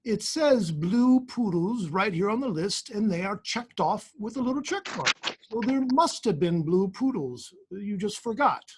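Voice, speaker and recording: An amateur reader acting a large, self-important royal chamberlain with a husky voice. He speaks deliberately and fussily, as a storybook courtier addressing his sovereign. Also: mid-range voice, expressive. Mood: firm, indignant. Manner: pompous, deliberate, enumerating. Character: pompous, fussy large male royal chamberlain.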